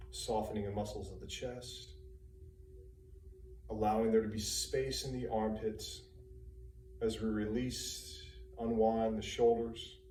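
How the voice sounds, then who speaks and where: A man leading a guided meditation as a trainer, giving calm, soothing instructions in short, unhurried phrases with pauses between them. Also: lowish voice. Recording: roomy, distant mic, faint hum and noise.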